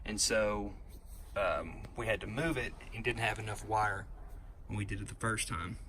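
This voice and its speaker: A man's voice: on the low side, normal speed, sharp tone. A man giving an unscripted, hands-on installation walkthrough, explaining and pointing things out step by step. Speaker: DIY how-to presenter. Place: inside a pickup truck cab. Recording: noisy handheld recording inside a vehicle.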